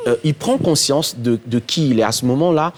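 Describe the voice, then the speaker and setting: A man speaks in a mid-range voice on a television studio set. He explains things in an earnest, measured way, like a coach giving advice. His tone stays steady and explanatory. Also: medium pitch. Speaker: guest coach. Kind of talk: studio talk show discussion.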